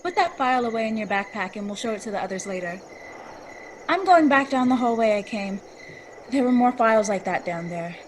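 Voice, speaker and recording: Bold, impatient young woman played by a woman, a touch high, curt and sardonic, faint background noise. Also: expressive, fairly quick, close to an everyday voice, rough recording. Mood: bossy. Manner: blunt, dry, bossy. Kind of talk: dramatic reading, casual dialogue.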